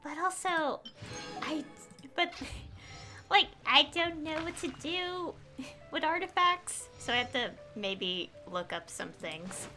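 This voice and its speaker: A woman's voice: high-pitched, expressive, slow, sharp tone, soft background music. A woman chatting casually on a gameplay livestream, rambling and hesitant as she thinks aloud. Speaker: game streamer.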